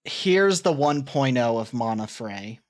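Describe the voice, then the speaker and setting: A woman's voice: extremely low pitch, animated, unhurried. A woman streamer chatting casually and rambling as she reacts to her old clips.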